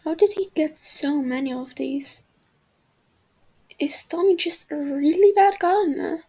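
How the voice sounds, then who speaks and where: Slow, soft-spoken woman narrator. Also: high voice, creaky, poor audio. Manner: soft, hushed, half-whispered delivery. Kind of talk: fan fiction audiobook reading.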